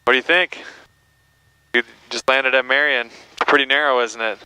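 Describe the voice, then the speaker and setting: A man's voice: medium pitch, steady tone, normal speed, pushed and bright, clean tone, no echo, low-quality recording. A man working as a flight instructor, giving encouraging step-by-step instructions and often checking in, over an aviation headset. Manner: encouraging, step-by-step coaching with tag questions. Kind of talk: hands-on cockpit instruction. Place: small airplane cockpit in flight and taxiing.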